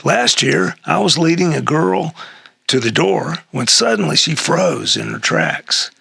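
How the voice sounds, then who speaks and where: An older man with an American accent and a gravelly, husky voice, reading a humorous personal essay aloud in a halting way. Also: fairly high pitch, expressive, pushed and bright, no echo, studio quality. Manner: halting, with throat clearing and chuckles.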